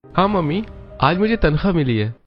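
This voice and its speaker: A man voices a mild young husband in a cartoon family drama. He sounds earnest and downhearted, fairly high in pitch, in slightly dull audio with music behind. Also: big pitch swings, fairly quick. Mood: reluctant. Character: dutiful, downhearted young married son.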